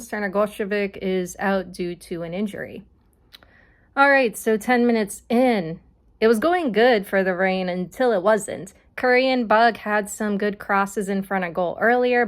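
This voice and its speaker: A young woman hosting her own sports podcast, casually reviewing a soccer match with many fillers, her voice still recovering from an illness. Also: mid-range voice. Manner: casual, filler-heavy delivery, reads lineups quickly. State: recovering from voice loss.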